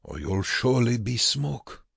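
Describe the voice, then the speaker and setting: A man's voice: medium pitch, big pitch swings, a little slow, creaky, fairly bright tone, breathy edge, no echo, clean studio sound, voice pushed up, heavily acted. A man voicing a hard, bitterly urgent camp prisoner who goads and taunts, in an audiobook story. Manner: goading, harsh, taunting.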